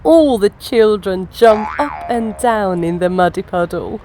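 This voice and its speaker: A woman's voice: medium pitch, animated, measured pace, mellow, slight background noise. A woman reading a children's storybook aloud as a narrator. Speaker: storybook reader and channel host.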